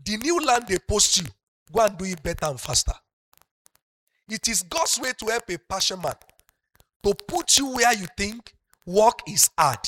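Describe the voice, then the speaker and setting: A middle-aged Nigerian pastor with a Yoruba-speaking accent preaching a sermon, moving from soft talk up to loud, passionate shouting. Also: male voice, sits high, sing-song, moderate pace, long pauses, no echo, rough recording.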